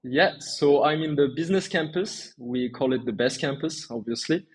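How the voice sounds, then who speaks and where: A man's voice: a little high, moderate pace, slightly rough, poor audio. A man, answering interview questions in a conversational way. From Turkey and France. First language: Turkish and French. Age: 20s. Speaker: interview guest, small agency owner.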